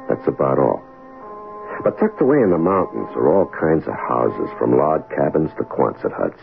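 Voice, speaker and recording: An actor playing an older, awkwardly smitten amateur sleuth in a radio mystery drama, with a gravelly voice. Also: male voice, very deep voice, exaggerated voice. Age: middle-aged. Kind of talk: radio mystery drama acting and first-person narration. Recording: tinny, noisy old radio broadcast.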